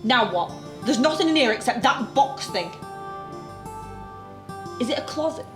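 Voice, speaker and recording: A woman's voice: fairly high pitch, expressive, a little slow. A woman playing a bewildered, sceptical young office worker in an audio drama, blunt and questioning. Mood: sceptical, irritated. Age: young adult. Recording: echoey with music underneath.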